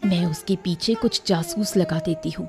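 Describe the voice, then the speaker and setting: Woman acting a low-voiced, shrewd cartoon queen. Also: normal speed, music in the background, lightly acted. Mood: suspicious. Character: concerned, suspicious queen, shrewd and calm. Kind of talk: Hindi cartoon story dialogue.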